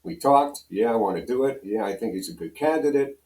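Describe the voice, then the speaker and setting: A man's voice: medium pitch, animated, fairly quick, warm tone. An older American man from Pennsylvania, a radio program director, telling casual, folksy, self-deprecating anecdotes as a guest. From Pennsylvania, USA. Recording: roomy echo, distant from microphone.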